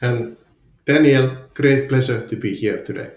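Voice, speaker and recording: A man's voice: medium pitch, unhurried, a little mellow, roomy sound, lo-fi sound. A man with a Finnish accent, a guest in a candid podcast interview, speaking hesitantly with many fillers. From Finland. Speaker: guest, company CFO.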